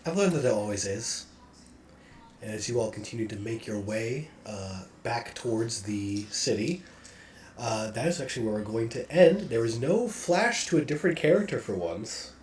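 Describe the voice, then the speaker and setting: A man hosting and narrating a tabletop game as game master, playful and excitable, in a casual style. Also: mid-range voice, moderate pace. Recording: echoey room.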